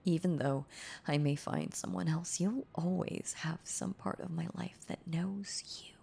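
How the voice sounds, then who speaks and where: A woman playing a heartbroken, tender former girlfriend in an intimate audio roleplay, confessing haltingly in a breathy, husky voice that slips into whispers. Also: on the low side, warm tone, slight background noise. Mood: tender, bittersweet. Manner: halting, confessional, repeating words, breaking into whispers.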